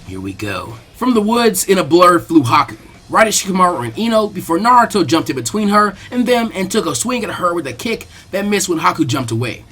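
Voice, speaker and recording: A man reading fan fiction aloud as expressive scripted story narration. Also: high voice, a little fast, few pauses. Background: faint music.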